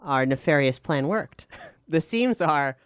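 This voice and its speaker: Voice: medium pitch, animated, slow, clear, resonant tone, dry recording, studio quality. An enthusiastic, articulate podcast host talking conversationally through a question-and-answer show. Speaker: showrunner and host.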